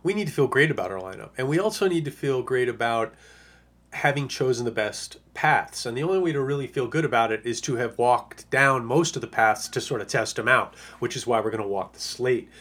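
Hoarse man rambling about fantasy picks. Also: mid-range voice, expressive, fairly quick, a bit bright. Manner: rambling, lots of uh and you know. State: hoarse, losing his voice. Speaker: fantasy football analyst. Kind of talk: casual podcast monologue.

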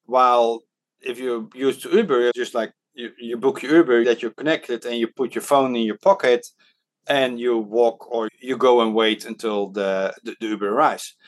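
A Dutch man talks through his points in a relaxed interview, stopping often on "uh" and restarting his words. His voice sits at an ordinary middle pitch for a man. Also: moderate pace. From Netherlands. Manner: hesitant, many fillers and restarts. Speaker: podcast guest, startup advisor. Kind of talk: casual interview talk. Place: Hong Kong.

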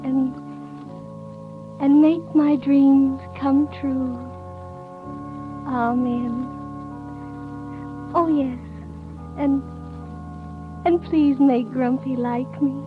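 An innocent, trusting young princess in a 1930s radio play. She speaks slowly and tenderly in a high voice, over music on a very dull old recording. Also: fairly even intonation. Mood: tender, hopeful. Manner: earnest, tender, prayerful. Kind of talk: 1930s radio drama acting.

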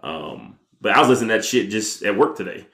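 Low-voiced American man in an echoey room. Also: quick pace. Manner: slangy, profane, animated storytelling. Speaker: podcast host. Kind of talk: casual music discussion.

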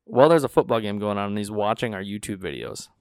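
A man's voice: lowish voice, quick pace, clean tone, dry recording, clean studio sound. A man from North Dakota hosting a podcast, telling stories in casual chat and acting out the people he quotes. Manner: animated, acts out quoted voices. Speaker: podcast host. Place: small studio. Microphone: studio podcast mic.

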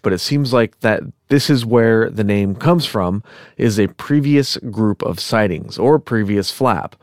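A man hosting a podcast who is a little sick, telling a story casually with rambling asides and self-corrections, clearing his throat now and then. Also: on the low side, no echo, clean studio sound. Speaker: podcast host. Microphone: podcast microphone.

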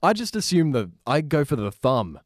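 Higher-voiced, lively man chatting casually. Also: normal speed, no room sound, clean studio sound. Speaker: podcast guest. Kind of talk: casual chat.

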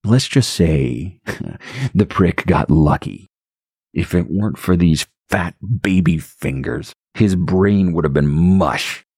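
A man voicing a cruel, foul-mouthed baby who speaks slowly in a deep grown man's voice. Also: lively intonation, a little mellow, husky, no room sound, clean studio sound, full character voice. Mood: menacing. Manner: slow, sneering, cruel.

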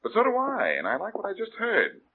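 An actor playing a breezy, wry, self-assured amateur detective in a 1940s radio crime drama. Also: male voice, sits high, wildly swooping pitch, fairly quick, exaggerated voice. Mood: wry, triumphant. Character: confident wisecracking amateur detective hero. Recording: noisy tinny old radio recording.

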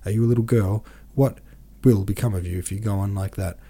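Man reading as storybook patriarch, cold, impatient, curt, commanding, low mellow voice. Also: steady tone, brisk, husky. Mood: harsh, irritated. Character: stern, cold, impatient father and senator.

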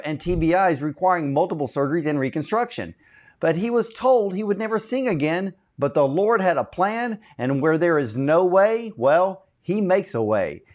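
Podcast host, man with a higher voice, upbeat and emphatic. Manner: emphatic, upbeat introductions with religious phrasing. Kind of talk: interview hosting.

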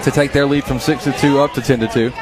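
A man doing play-by-play baseball radio commentary, excited on big plays and chatty between pitches, his voice a little strained. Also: fast talker. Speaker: play-by-play announcer. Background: crowd.

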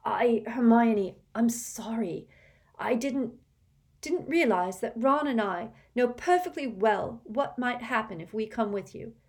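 A woman's voice: on the high side, a little slow, a little mellow, voice pushed up. A woman voicing an earnest teenage boy wizard who speaks hesitantly and apologetically.

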